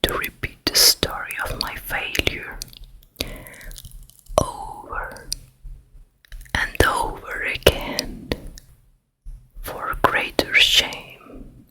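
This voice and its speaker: A woman playing a vain, wicked fairy-tale queen in a menacing, breathy ASMR whisper. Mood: bitter. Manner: very slow, repetitive, menacing murmur. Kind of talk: ASMR roleplay.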